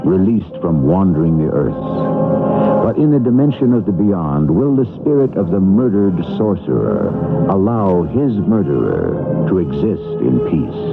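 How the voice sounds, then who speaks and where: A man's voice: deep voice, vocal fry. A man hosting a 1970s radio mystery show, with ominous, theatrical scripted narration. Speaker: radio drama host. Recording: tinny 1970s radio broadcast with music.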